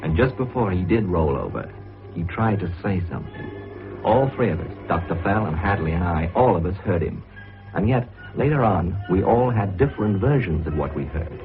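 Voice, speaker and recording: A man's voice: deep voice, very animated, moderate pace, narrow, tinny audio, music in the background. An actor playing an earnest young British man recounting an eerie mystery in a radio drama, with a suspenseful storytelling manner. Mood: grave, suspenseful. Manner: storytelling, suspenseful, hesitant asides. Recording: thin, noisy old radio recording.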